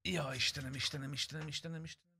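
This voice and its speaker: A man's voice: fairly high pitch, steady tone. A man live-streaming a roleplay game, chatting casually with a blunt, sweary manner. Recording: clear background noise, vehicle sounds.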